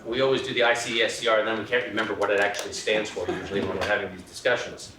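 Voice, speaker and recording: A man's voice: on the low side, level tone, rapid-fire. A man hosting a symposium who reads a formal introduction of the keynote speaker, with a few small slips. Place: lecture hall. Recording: echoey hall, distant mic, faint noise.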